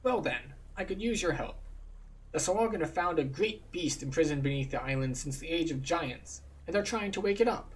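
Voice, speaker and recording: A man's voice: fairly high pitch, animated, normal speed, voice pushed up, natural voice, poor audio. Man voicing an anxious island guardian.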